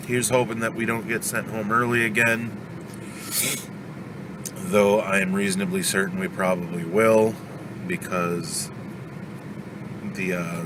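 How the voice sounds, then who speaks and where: A man's voice: deep voice, noisy background. A man with a stuffed-up cold talking casually in a daily vlog, venting his frustration. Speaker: vlogger.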